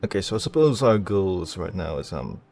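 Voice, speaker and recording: A man with a fairly low voice streams a game and comments casually as it goes. He is hesitant and rambles through his thoughts, with game music faintly behind him. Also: sing-song, fairly quick, gravelly, a little mellow. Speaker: gaming streamer. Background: game music and dialogue.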